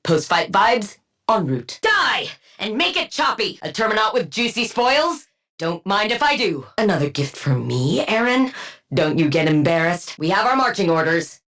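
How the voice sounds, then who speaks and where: A woman playing a brilliant, dry-witted scientist villain-antihero in a video game, speaking in a clinical, deadpan and wryly bookish way. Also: medium pitch, normal speed. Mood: urgent, commanding. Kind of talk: video game combat voice lines.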